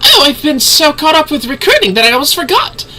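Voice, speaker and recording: Small, cheerful game receptionist woman, played by a man, very high, swooping, perky and chatty. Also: big pitch swings, a little fast, sharp tone, a little hiss or hum, full character voice, low-quality recording.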